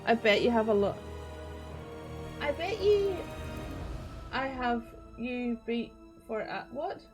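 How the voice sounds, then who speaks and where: A woman's voice: fairly high pitch, very animated, slow pace, music in the background. A woman with a rural Northern Irish accent chatting on a gaming livestream, swearing freely and laughing often as she reads chat. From Northern Ireland. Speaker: streamer.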